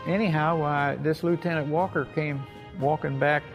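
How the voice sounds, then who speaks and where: An older American man, a war veteran, telling his memories informally in a folksy, halting way with repeated phrases. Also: a little high, steady tone, soft background music. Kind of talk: informal oral-history storytelling.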